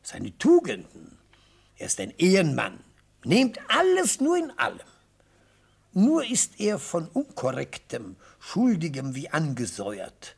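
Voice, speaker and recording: An actor playing an elderly, theatrical defence lawyer in a radio play, speaking in rhetorical, declamatory phrases with savoured pauses and a husky voice. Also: male voice, on the high side, huge pitch swings, full character voice. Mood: grandiloquent. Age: older. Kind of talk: radio play courtroom oratory. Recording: vintage radio play recording.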